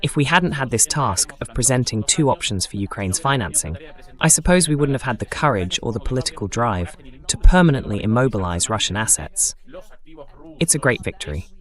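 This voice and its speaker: A man's voice: mid-range voice, animated, a little fast. A man hosting a political talk, speaking as a translating voice-over. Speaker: host.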